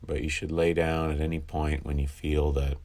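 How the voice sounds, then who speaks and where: A man with a remarkably low, deep voice leads a guided meditation for sleeplessness. He speaks calmly and instructively. He leaves long silent pauses between phrases.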